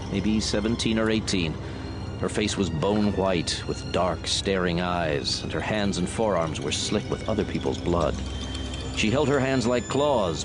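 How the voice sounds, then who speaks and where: A man narrating a dramatized audiobook in a scripted, dramatic storytelling style. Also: deep voice.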